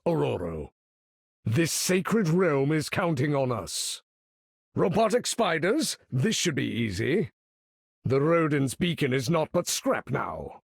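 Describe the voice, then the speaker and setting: A proud older supervillain for a video game, husky and gravelly in a raised register. He speaks slowly and grandly, with formal phrasing and sweeping, commanding swings of pitch. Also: dry recording, studio quality, full character voice. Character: proud, imperious older male mutant master of magnetism. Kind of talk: theatrical game character voice lines.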